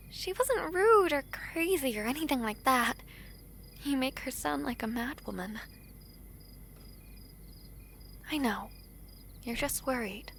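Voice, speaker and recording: A woman playing a gentle, earnest young princess in a romantic fantasy audio drama, speaking softly and formally. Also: sits high, a little hiss or hum, heavily acted. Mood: reassuring. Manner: soft, formal, measured diction with tender pauses.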